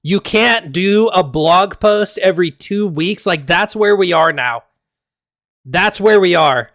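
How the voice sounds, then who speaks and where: A man streaming and ranting casually, heated and exasperated, swearing often, his voice going hoarse. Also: high-pitched, takes it slowly, fairly bright tone, no echo, studio quality. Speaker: game streamer. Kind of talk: casual livestream rant.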